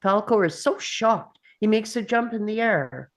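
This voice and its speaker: A woman's voice: mid-range voice, lively intonation, unhurried. A woman narrating scripted stage directions for a screenplay read.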